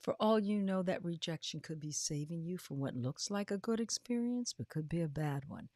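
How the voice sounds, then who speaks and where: A woman in her fifties or older giving a motivational talk as a host, with emphatic, drawn-out delivery that often drops into breathy, hushed phrases. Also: on the low side, lively intonation, dry recording. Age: 50s or older. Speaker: podcast host and coach. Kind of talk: motivational livestream talk to followers.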